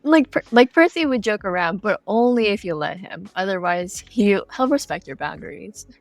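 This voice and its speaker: A woman's voice: mid-range voice, animated, moderate pace, no room sound, faint music behind. A woman answering earnestly and casually as a creator being interviewed. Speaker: game creator, interview guest.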